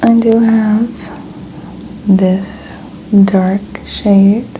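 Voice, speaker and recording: A woman in her twenties speaking in a soft, breathy near-whisper, chatting casually and gently as an ASMR host. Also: medium pitch, little pitch movement, drawn-out words, slight background noise, poor audio. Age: 20s. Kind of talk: soft-spoken ASMR, casual personal chat.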